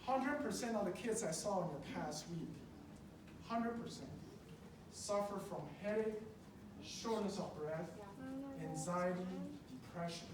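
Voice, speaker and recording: This is a speaker from Taiwan addressing a public meeting with great emotion. The delivery is emphatic and builds by repeating phrases. The voice reaches a distant microphone through heavy room echo, with clear background noise. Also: mid-range voice, expressive, unhurried. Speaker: public speaker at a school board meeting, treats patients. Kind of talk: impassioned public speech. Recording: distant mic in echoey, noisy meeting room.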